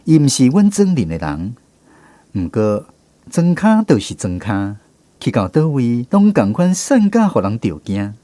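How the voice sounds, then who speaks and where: A mellow, reflective storyteller. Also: male voice, mid-range voice, big pitch swings, measured pace, no room sound. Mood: reflective, nostalgic. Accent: Taiwanese. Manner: reflective, conversational. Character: male writer fondly looking back on village childhood. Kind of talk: radio drama storytelling narration.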